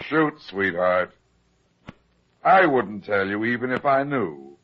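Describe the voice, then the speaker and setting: A muffled old radio detective drama. an unflappable, heavyset private eye with a low, husky voice, delivering hardboiled lines in a dry, sardonic deadpan. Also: male voice, slow. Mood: sardonic, unfazed. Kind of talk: 1950s hardboiled radio detective acting and narration. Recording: muffled 1950s radio recording.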